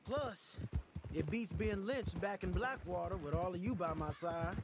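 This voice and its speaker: Young Western gang member for a game: high voice, folksy, eager, clear background noise. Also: male voice, measured pace. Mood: game, resolute. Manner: earnest, folksy, persuasive. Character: eager, sharp young male outlaw of the Old West. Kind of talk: video game cinematic acting. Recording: clearly noisy outdoor game ambience.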